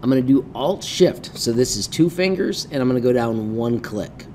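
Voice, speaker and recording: A middle-aged man giving an informal step-by-step software tutorial, upbeat, with punchy interjections and folksy wording. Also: medium pitch, lively intonation, moderate pace, slight background noise. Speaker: tutorial presenter teaching 3D design. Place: indoors at a computer, webcam screencast.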